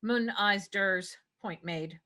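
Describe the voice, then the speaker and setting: Bright-voiced woman narrating a script. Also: medium pitch, slow. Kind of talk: scripted narration of stage directions.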